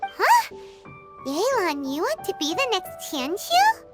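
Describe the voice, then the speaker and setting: A woman voicing a tiny, curious fairy companion in a video game, with a squeaky childlike voice and cute exaggerated delivery. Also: wildly swooping pitch, takes it slowly, over music, exaggerated voice. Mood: surprised, concerned. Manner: cute, exaggerated, speaks in third person. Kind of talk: scripted video game dialogue.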